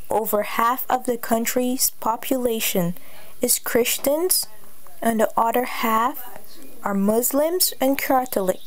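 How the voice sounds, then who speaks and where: A young woman from Guyana reading her personal essay aloud as a student, in an earnest, measured way. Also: on the high side. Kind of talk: read personal essay narration.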